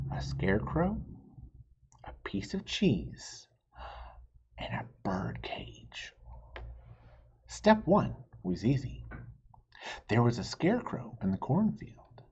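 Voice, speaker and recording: A man reading a children's storybook aloud like a teacher, animated and stopping to ask the children questions. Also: a little high, gravelly, dull audio, slight background noise.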